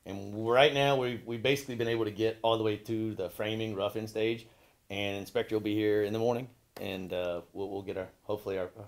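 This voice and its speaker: A contractor with a fairly low voice explains the renovation work in an easygoing, halting way, often repeating himself and letting out a chuckle now and then. He sounds like he is in his forties. The camera catches him from a few steps away inside the open-stud basement. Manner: relaxed, hesitant, repeats words, chuckles. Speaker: building contractor. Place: gutted basement under renovation, indoors. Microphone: far camera.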